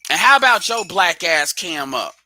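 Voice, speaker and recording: A man's voice: high voice, very animated, moderate pace, sharp tone, a little hiss or hum. A man hosting a live audio stream in casual chat, blunt and profane, talking straight at his listeners.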